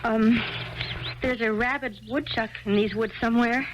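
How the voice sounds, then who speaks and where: A woman's voice: on the high side, steady tone, moderate pace, lightly acted. An actress playing a shy young woman who speaks hesitantly and stammers, in a 1970s radio drama. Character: shy young woman, guarded about her past. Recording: dull lo-fi archival radio with faint hiss.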